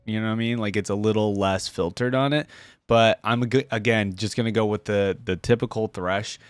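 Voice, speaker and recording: A man's voice: lowish voice, fairly even intonation, a little fast, smooth, no echo, clean studio sound. A man hosting a reaction video, commenting casually and musing aloud to his viewers.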